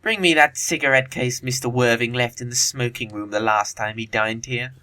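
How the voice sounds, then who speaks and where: A man acting a witty, teasing young gentleman of leisure in an audio play of a period comedy, with an arch, languid, needling manner. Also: mid-range voice, normal speed, close to an everyday voice.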